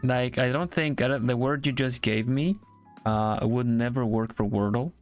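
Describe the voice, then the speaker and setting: Halting man chatting, faint music. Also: medium pitch. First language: Swedish. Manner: halting, repeats words while searching for them. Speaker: streamer. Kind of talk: casual chat on a live art stream.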